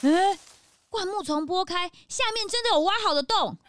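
Super high squeaky whiny kid detective helper, timid and always hungry, music behind. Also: female voice, animated, a bit bright. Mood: curious. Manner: whiny, exaggerated, drawn-out exclamations. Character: timid, whiny, gluttonous young child sidekick of a detective. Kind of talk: children's detective audio drama.

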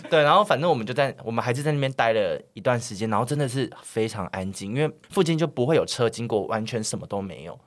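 A man with a Taiwanese Mandarin accent talks quickly at an ordinary male pitch. He spins anecdotes in a rambling, animated way, as a co-host in a casual podcast chat.

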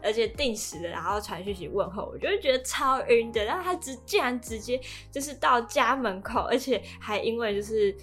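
A woman's voice: fairly high pitch, lively intonation, a little fast, few pauses, a bit bright, faint music behind. A young adult woman with a Taiwanese Mandarin accent, chatting casually as a podcast co-host. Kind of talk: casual chat.

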